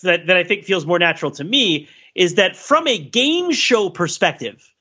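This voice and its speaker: A man on a podcast giving casual comic analysis in an animated, emphatic, colloquial way. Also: high-pitched, moderate pace, slightly rough, muffled recording. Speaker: podcast panelist.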